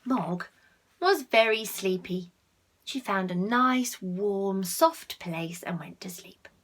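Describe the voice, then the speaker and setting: A woman reading a picture book aloud to children, expressive, with asides to the listeners and hushed tones for effect. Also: mid-range voice, slow pace. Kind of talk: animated read-aloud with asides to young listeners.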